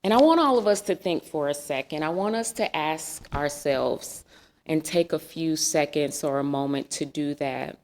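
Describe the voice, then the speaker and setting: A woman's voice: low voice, normal speed, a little mellow. A woman giving an earnest, reflective sermon in a church, asking the congregation questions. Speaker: guest speaker. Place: church sanctuary.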